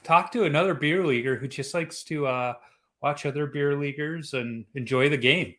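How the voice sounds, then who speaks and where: A man's voice: on the high side, moderate pace, fairly bright tone. A middle-aged man from Chicago hosting a casual podcast, chatty and rambling with restarts. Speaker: podcast host.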